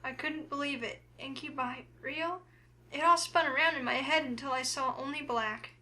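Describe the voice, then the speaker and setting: High, bright young heroine, voiced by a woman. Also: normal speed. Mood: uneasy, reflective. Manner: expressive, flustered. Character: young woman heroine, easily flustered, wary, inwardly sarcastic. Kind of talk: first-person visual novel narration and dialogue.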